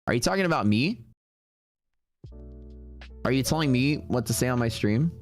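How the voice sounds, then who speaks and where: A man's voice: medium pitch, animated, normal speed, faint music behind. A man with a Texas accent, streaming live day trading and chatting casually and brashly with his viewers. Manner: casual, brash, talks to chat.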